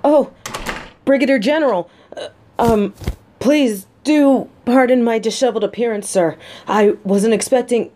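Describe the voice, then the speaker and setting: A woman's voice: fairly high pitch, a little slow, mellow, lightly acted. A woman playing a guarded, sarcastic but secretly vulnerable lieutenant and bodyguard in an intimate audio roleplay. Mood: flustered. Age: adult. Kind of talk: scripted roleplay audio drama, addressed to listener.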